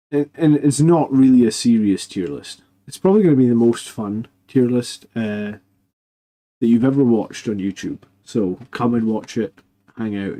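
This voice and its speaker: A man hosting a live stream in casual chat, quiet because he is losing his voice, rambling with fillers and restarts. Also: lowish voice. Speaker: host of a live army list show.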